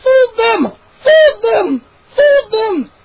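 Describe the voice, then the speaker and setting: A woman voicing a mob of gleeful, mischievous little gnomes who chant in shrill, excited voices. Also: takes it slowly, creaky, narrow, tinny audio, heavily acted.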